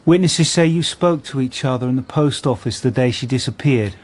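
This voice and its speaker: Man acting methodical detective, mid-range voice, measured, direct, terse, probing, radio drama. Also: mid-range voice, darkish tone, natural voice.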